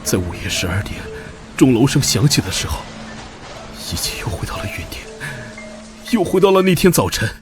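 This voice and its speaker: An actor playing a desperate, haunted young porter in an animated drama, with a pleading and hesitant delivery. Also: male voice, medium pitch, normal speed, music in the background, close to an everyday voice. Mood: haunted grief.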